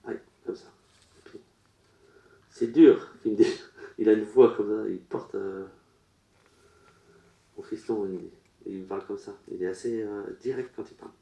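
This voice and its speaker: Medium guy at a public seance, really dark mellow voice, broken choppy phrasing, echoey room. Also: fairly low pitch, steady tone, creaky. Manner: halting, relays messages in short broken phrases. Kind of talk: public séance reading. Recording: roomy echo.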